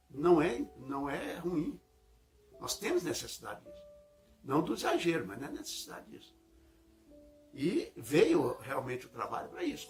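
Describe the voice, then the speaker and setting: A man with a Brazilian accent giving a religious public lecture in a conversational, reflective way, repeating phrases and checking in with listeners. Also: mid-range voice. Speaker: speaker at a Spiritist center.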